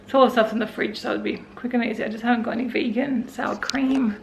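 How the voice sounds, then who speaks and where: An Australian woman in her forties with a croaky voice, talking casually and wearily through her day at home in a vlog. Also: on the high side, steady tone, fast talker, a little hiss or hum. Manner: tired, rambling, self-talking. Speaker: vlogger. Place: indoors at home.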